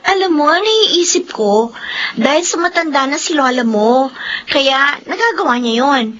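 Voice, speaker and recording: A woman playing an outgoing, supportive young classmate in a Filipino radio drama, speaking in an animated, expressive way. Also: sits high, unhurried, lo-fi sound. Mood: chatty, fond.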